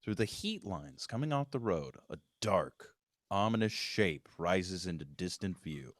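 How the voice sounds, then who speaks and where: A man's voice: low voice, expressive, unhurried, no room sound, studio quality. A man reading out stage directions as scripted narration at a table read of a screenplay.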